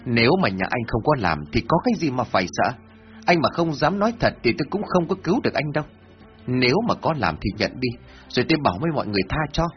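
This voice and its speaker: A man doing an older village headman, measured and coaxing, with a lively rise and fall in his speech. The recording is a bit muffled, with faint music. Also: medium pitch, lightly acted.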